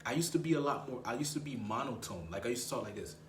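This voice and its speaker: Low-voiced man with slangy coaching talk. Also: expressive, fast talker, roomy sound, a little hiss or hum. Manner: slangy, emphatic, repeats words. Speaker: dating coach. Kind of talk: casual advice monologue.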